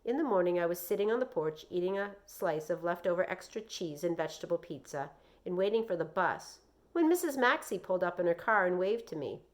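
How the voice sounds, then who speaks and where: A woman's voice: fairly low pitch, lively intonation, brisk. A woman reading a children's novel aloud as narrator, with brief explanatory asides.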